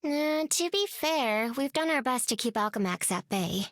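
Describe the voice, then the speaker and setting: High, lively young heroine. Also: female voice, moderate pace, no room sound, studio quality. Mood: confident. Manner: casual, cheerful, plucky. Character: upbeat, confident young girl hero.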